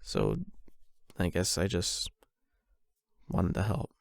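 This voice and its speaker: A man's voice: low-pitched, sing-song, slow pace, gravelly, dry recording, clean studio sound, heavily acted. A man acting a shy, flustered young man confessing love to an online friend in a hesitant, rambling ASMR boyfriend roleplay. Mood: sheepish, earnest. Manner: hesitant, rambling, nervous chuckles.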